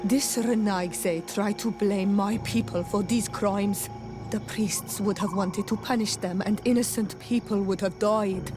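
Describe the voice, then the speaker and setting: A proud islander warrior from a fantasy game, voiced by a woman, mid-range in pitch. She speaks bluntly and sternly in terse phrases, over clearly audible music. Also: steady tone, a little slow, natural voice. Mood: indignant, stern. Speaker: game character voice actor. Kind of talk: dramatic video game acting. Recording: background music behind the voice.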